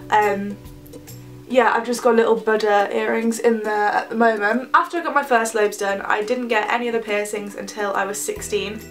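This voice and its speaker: A young woman with a cold talks casually to camera, her voice nasal and stuffy. She rambles along, filling gaps with ums. The mic sits a little way off, with soft music in the background. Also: a little high, far from the mic. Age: young adult. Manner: chatty, rambling with many ums. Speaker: vlogger.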